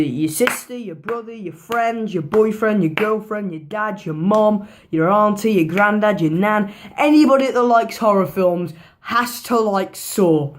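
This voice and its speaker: A woman with a hoarse, worn-out voice, giving a casual movie review in a rambling way, swearing freely and repeating herself. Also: medium pitch. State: hoarse, losing her voice.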